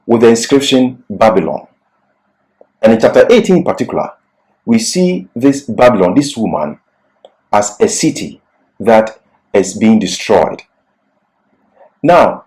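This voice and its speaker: A man giving an expository Bible sermon and reading scripture in an emphatic, deliberate, didactic manner. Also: mid-range voice, warm tone, rough recording. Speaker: Bible teacher.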